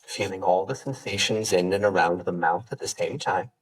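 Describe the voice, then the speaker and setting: Low, husky-voiced man guiding meditation. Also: recorded from a distance, poor audio. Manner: gentle, unhurried instruction with pauses. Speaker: yoga teacher. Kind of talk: guided meditation.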